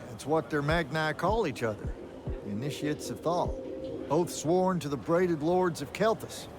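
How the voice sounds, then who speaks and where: An actor playing a world-weary battlemage mentor in a fantasy video game, talking in a wry, folksy, colloquial way. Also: male voice, on the high side, a little slow, close to an everyday voice. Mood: wry, teasing. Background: music.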